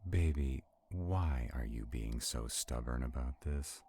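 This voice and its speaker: A man playing an arrogant, stubborn vampire in a roleplay audio drama, with a deep, gravelly, husky voice and a haughty, deliberate delivery. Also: dark tone, full character voice. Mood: exasperated, stubborn. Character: arrogant, stubborn male vampire, irritated and skeptical.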